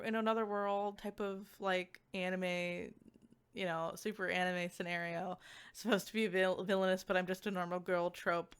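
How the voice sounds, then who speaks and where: A woman's voice: mid-range voice, sharp tone, clear, resonant tone. Female reviewer-streamer, mid-range voice, informal and conversational, stumbling through filler-heavy, unscripted-sounding commentary. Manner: informal, halting, filler-heavy speech with frequent "like". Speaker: video game reviewer and streamer. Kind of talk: casual, chatty spoken review with slides.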